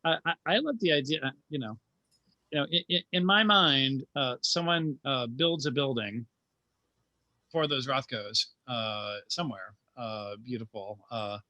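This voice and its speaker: A man speaking informally as a playwright on a panel, in a halting way with repeated words and trailing thoughts. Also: mid-range voice, bright, forceful tone, dull audio.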